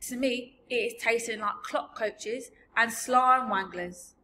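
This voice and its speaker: A woman voicing a huge, very old, gentle male giant from a storybook, with mangled grammar and invented words. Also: fairly high pitch, takes it slowly, a little hiss or hum. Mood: wistful, explaining. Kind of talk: storybook character voice.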